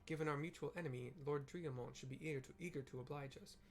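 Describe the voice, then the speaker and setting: A man's voice: fairly high pitch, quick pace, natural voice. A man reading the lines of an earnest young male scholar aloud, in a voice close to his own. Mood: measured. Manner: reads lines aloud in a light, near-natural voice.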